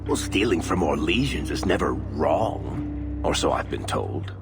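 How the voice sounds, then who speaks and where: A man's voice: rumbling low, animated, a little slow, over music, heavily acted. A man voicing a wry, talkative storyteller rogue in a fantasy video game, sardonic and theatrical. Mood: sheepish banter. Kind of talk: video game character dialogue.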